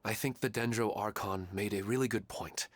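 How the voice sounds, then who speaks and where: A man's voice: fairly low pitch, steady tone, normal speed, no echo, natural voice. A man voicing a grieving, hesitant widowed father in a video game, speaking in a sad, subdued way. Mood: sorrowful.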